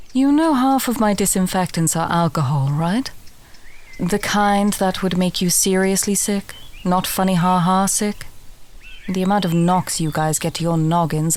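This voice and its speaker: A woman's voice: fairly low pitch, a little mellow, lightly acted. A woman playing a chatty, drily humorous alchemist and herb gatherer in a fantasy audio roleplay, talking straight to the listener. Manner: conversational, instructive, drily humorous.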